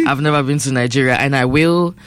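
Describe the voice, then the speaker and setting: A Cameroonian singer with a Cameroonian accent, chatting easily as an interview guest and laughing often. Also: male voice, mid-range voice, brisk, a bit bright, clean tone, no echo. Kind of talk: casual interview chat.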